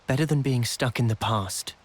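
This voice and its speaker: Man playing husky, thoughtful young soldier. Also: medium pitch, lightly acted. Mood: earnest. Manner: measured, earnest, reflective. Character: calm, thoughtful young male soldier, quietly resolute. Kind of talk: video game cutscene acting.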